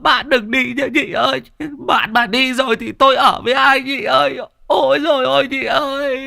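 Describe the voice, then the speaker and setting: A shrill, wailing old crybaby. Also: male voice, creaky, bright, forceful tone, full character voice. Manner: sobbing, wailing and drawn-out cries. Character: wailing old man, a melodramatic crybaby friend. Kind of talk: audio storytelling.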